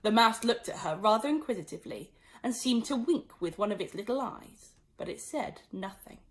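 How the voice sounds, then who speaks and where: A woman reading a children's story aloud as an expressive audiobook narrator. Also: mid-range voice, normal speed.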